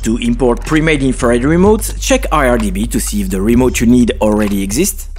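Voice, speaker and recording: A man's voice: mid-range voice, a little fast, a little mellow, faint music behind. A man reading a scripted tutorial narration, explaining things step by step in an instructional way. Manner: clear step-by-step instructional delivery.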